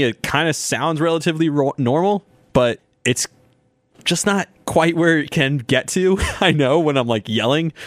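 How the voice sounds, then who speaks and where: A man's voice: medium pitch, dry recording. A young man hosting a sports podcast in a casual, rambling way, his voice a little sore. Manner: chatty, rambling, laughs often. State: slightly sore voice. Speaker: podcast host.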